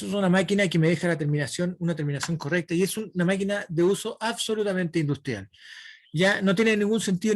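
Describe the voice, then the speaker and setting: A man's voice: a little high, no room sound, studio quality. Man teaching a workshop class. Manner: explanatory, checks understanding with "¿ya?". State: dry throat. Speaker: woodworking instructor. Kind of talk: online lecture.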